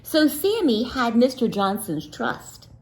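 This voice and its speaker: A woman teacher reads a picture book to young children, slowly and deliberately, leaving room for their answers. Her voice sits a little high and swoops up and down a lot, playful and sing-song as she quizzes them. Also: big pitch swings, darkish tone. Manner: slow and deliberate, pausing for answers. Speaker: teacher reading aloud. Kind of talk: children's read-aloud with questions.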